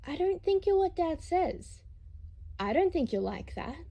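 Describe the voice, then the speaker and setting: A woman's voice: very high voice, very animated, unhurried, mellow, voice pushed up, heavily acted. A woman reading a dramatised story as an earnest, trusting young boy, hesitant and pleading. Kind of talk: dramatised audiobook reading of fan fiction.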